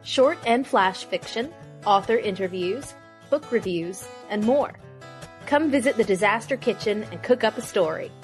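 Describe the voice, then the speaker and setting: A woman's voice: medium pitch, lively intonation, normal speed, soft background music, natural voice. A woman playing an incredulous kitchen companion and announcer in a comic podcast promo. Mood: upbeat announcing.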